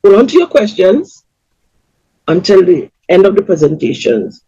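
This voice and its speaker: A woman with a Trinidadian accent hosting a community webinar over a video call, welcoming people in a lively announcer tone. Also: mid-range voice, moderate pace, slightly rough, no echo. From Trinidad and Tobago. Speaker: webinar host. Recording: dull, lo-fi webinar audio.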